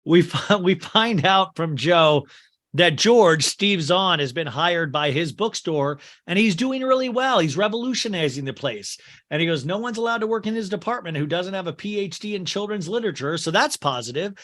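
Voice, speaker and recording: A man with a bright, slightly high voice recaps a film on his podcast, acting out its dialogue in put-on voices. His voice sounds worn and strained. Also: lively intonation, moderate pace. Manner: acts out quotes in shifting put-on voices. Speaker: podcast host.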